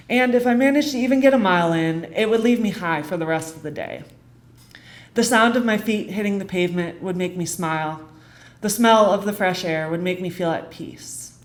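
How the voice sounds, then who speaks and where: A young American woman reading a rehearsed personal essay on stage, in an earnest, deliberate delivery with short phrases and dramatic pauses. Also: lowish voice. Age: young adult. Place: theater stage.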